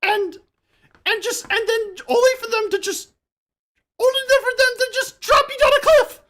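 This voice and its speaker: A man's voice: very high voice, normal speed, bright, forceful tone, voice pushed up. A man voicing a small cartoon dinosaur who pleads and wallows in an overwrought, self-pitying mock apology. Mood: tearful, pleading. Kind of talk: mock YouTuber apology video improv.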